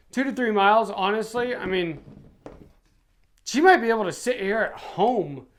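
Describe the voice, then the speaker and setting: A man's voice: sits high, fairly bright tone. A middle-aged man reviewing gear for his channel in a casual, chatty way. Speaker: product reviewer and YouTube channel host.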